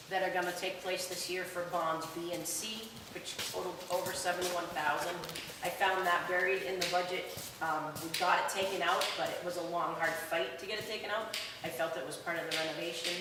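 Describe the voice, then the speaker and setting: A woman running for a school board seat, speaking without a microphone at a public meeting and pausing often on filler sounds. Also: fairly low pitch. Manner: hesitant, many um fillers. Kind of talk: campaign speech at a public meeting. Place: large echoey public hall. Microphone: no microphone, far from the recorder. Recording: roomy hall echo, distant and noisy.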